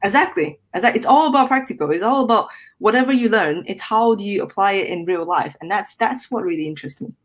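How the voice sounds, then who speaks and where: A woman's voice: medium pitch, animated, fast talker. A young woman from Malaysia, a guest engineer in a podcast interview, explaining things in a friendly way with many "um"s and repeated words. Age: young adult.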